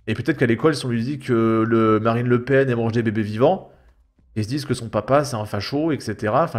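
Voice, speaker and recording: A man's voice: medium pitch, a little mellow. A young man giving casual, mocking reaction commentary in a slangy, sarcastic, exasperated way. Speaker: YouTube commentator. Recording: music behind the voice. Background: background music.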